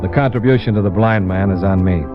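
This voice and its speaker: Man acting a low-voiced hard-boiled American radio detective. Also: fast talker, close to an everyday voice. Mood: wry, businesslike. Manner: hard-boiled, dry, clipped storytelling. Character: tough, wry male freelance insurance investigator narrating his case. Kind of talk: 1950s radio detective narration. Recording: dull vintage radio recording with music.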